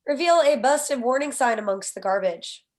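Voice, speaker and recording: A woman's voice: high voice, lively intonation, normal speed. A woman reading stage directions as scripted narration for a screenplay table read.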